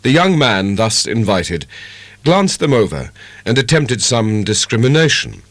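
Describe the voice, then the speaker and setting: A man giving a measured, expressive dramatic narration of a literary audiobook. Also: medium pitch, no room sound.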